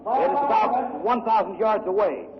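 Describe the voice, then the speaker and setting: An actor playing an earnest, formal radio correspondent reporting from a warship in a 1940s radio drama. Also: male voice, sits high, animated, moderate pace. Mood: tense, excited. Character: earnest male radio correspondent aboard an ironclad in battle. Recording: very noisy, muffled vintage radio recording.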